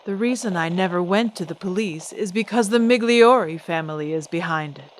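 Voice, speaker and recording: A woman's voice: on the low side, brisk, close to an everyday voice. A woman playing a poised, defiant celebrity singer in an old-time radio drama, speaking with composed, pointed, genteel diction. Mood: firm, defiant.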